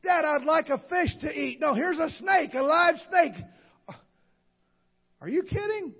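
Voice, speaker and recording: A man preaching a sermon, shouting with call-and-response to the congregation. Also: very high-pitched, animated, unhurried, muffled recording. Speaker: pastor. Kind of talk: Pentecostal sermon.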